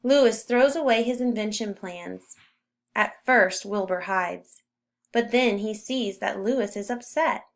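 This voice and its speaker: A woman narrating a children's story as a read-aloud, with expressive emphasis on key words. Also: medium pitch, slow. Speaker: storybook reader.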